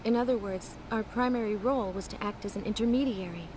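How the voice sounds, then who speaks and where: A woman voicing a calm, courteous second-in-command of a spaceship in a video game, speaking in a measured way. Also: medium pitch, noisy background, lightly acted. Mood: explanatory, calm. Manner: measured, polite, composed.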